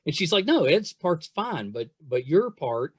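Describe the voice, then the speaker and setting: A man's voice: fairly high pitch, sing-song, measured pace, breathy edge, no echo, clean studio sound. A man hosting a casual interview podcast, chatting in an effusive, rambling, self-deprecating way. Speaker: podcast host.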